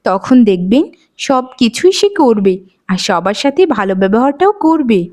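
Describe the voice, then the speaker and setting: A woman voicing a patient, dutiful elder daughter-in-law in a cartoon, a gentle peacemaker with a soothing, reasoning manner. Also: on the high side, big pitch swings, moderate pace. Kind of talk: Bengali cartoon voice acting.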